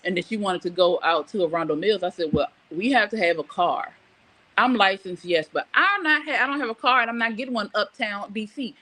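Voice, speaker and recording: A woman's voice: medium pitch, expressive, brisk, pushed and bright. A middle-aged woman hosting a casual live morning show, giving frank, emphatic advice and telling stories conversationally. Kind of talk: casual chat, reading viewer comments and telling personal stories.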